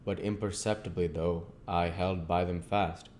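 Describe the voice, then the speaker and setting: A man's voice: sits low, steady tone, unhurried, darkish tone, slight background noise. A man reading a classic novel aloud as an amateur audiobook narrator.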